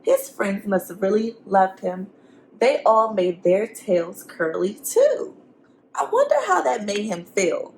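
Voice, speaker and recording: A woman's voice: medium pitch, sing-song, takes it slowly, slight background noise. A woman teacher reading a storybook aloud to young students, expressively, with simple encouraging questions and asides for the children.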